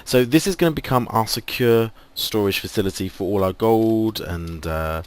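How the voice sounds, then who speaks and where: A man's voice: lowish voice, moderate pace, darkish tone, smooth. Chatty English man losing his voice. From England. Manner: chatty, thinking aloud, lots of ums. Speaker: gaming video host. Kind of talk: casual let's play commentary.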